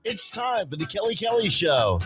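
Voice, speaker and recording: A man's voice: high voice, big pitch swings, moderate pace, over loud music. A man reading the announcer's introduction of a radio talk show. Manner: announcer delivery.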